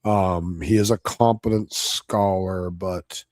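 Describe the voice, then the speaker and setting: A man giving casual livestream commentary on a debate, blunt, combative and profane, his voice slightly hoarse. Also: low-pitched, steady tone, slow pace, a little mellow, no room sound, clean studio sound. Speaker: debate reviewer streaming live.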